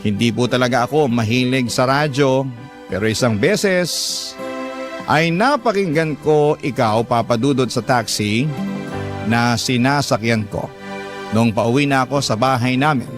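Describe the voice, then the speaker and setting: A man's voice: medium pitch, unhurried. A man with a Filipino accent reading scripted first-person stories as a radio drama host. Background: guitar music.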